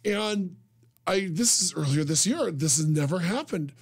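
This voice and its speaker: A radio host interviewing a guest, joking and teasing with quick reactions. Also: medium pitch, animated, slightly rough.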